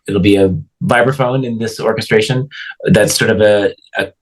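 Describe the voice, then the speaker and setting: A man's voice: fairly low pitch, fairly even intonation, normal speed, poor audio. A man speaking as a composer in a panel conversation, reflective and choosing his phrases with care. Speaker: composer being interviewed.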